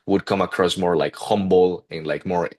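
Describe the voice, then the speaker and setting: A man in his twenties with a heavy Peruvian accent, talking casually as a guest, halting with many fillers and restarts. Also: low voice, steady tone, darkish tone. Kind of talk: casual interview talk.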